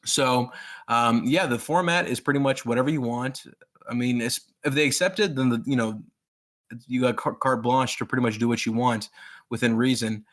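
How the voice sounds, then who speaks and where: A man's voice: medium pitch, normal speed, a bit bright. A man in his thirties who grew up in Pakistan, a guest author talking casually and enthusiastically in an interview, with many fillers and restarts.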